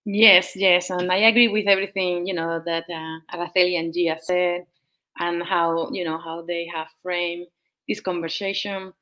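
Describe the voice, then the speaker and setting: Bright-voiced woman, halting speech. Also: on the low side, little pitch movement, rough recording. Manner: halting, searching for words. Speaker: panel guest. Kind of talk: casual panel chat. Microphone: video call.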